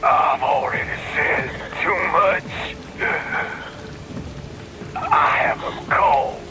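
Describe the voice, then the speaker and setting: Slow, taunting cyborg villain. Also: male voice, a little high, over music. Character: gruff wounded male cyborg villain, mocking. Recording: noisy battlefield with rain. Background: rain and noise.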